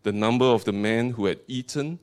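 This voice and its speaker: A male guest preacher with a Singaporean accent, speaking at a middle pitch with an earnest, fervent delivery. He moves between warm greetings, heartfelt prayer full of repeated appeals to the Lord, and a measured reading of scripture to a congregation. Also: clean tone.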